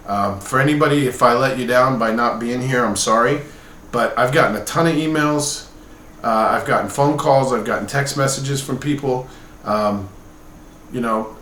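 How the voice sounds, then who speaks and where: A man's voice: medium pitch, fairly bright tone. A man in his late forties giving a casual personal update to camera as a vlogger, speaking candidly and a little hesitantly. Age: late 40s. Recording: roomy echo with background noise.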